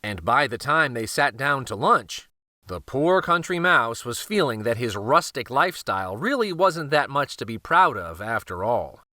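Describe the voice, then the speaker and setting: A man narrating a children's storybook aloud, expressive and careful, with dramatic pauses. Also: medium pitch, no echo, clean studio sound.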